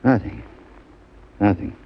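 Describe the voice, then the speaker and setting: A man playing a big, shifty pool parlor owner of about forty in a radio crime drama, with a husky voice and an urgent, insistent manner. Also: takes it slowly, natural voice. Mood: uneasy, furtive. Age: middle-aged. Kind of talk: old-time radio crime drama acting. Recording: dull vintage radio recording.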